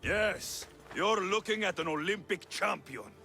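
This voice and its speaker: An actor playing a cocky, confident Greek mercenary hero in a video game, dry and taunting. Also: male voice, high voice, animated, unhurried, vocal fry, bright, forceful tone, slight background noise, heavily acted.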